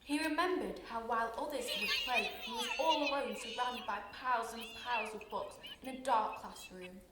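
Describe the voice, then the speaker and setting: A woman's voice: on the high side, moderate pace. A teenage girl reading scripted dramatic narration for a radio play. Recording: distant, very echoey, noisy room.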